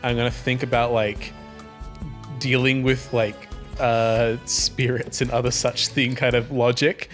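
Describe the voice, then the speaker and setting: A man with an average-pitched voice talks over faint background music. He is a player in a casual tabletop game, working out his moves aloud in hesitant, piecemeal phrases. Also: level tone, unhurried, fairly bright tone. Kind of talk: casual improvised game chat.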